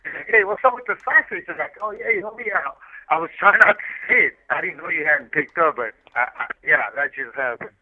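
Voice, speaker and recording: A man's voice: on the high side, quick pace. A talkative man chatting casually over a phone, telling rambling, slangy anecdotes and repeating himself as he goes. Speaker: incarcerated guest calling in by collect call. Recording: muffled, tinny prison phone line.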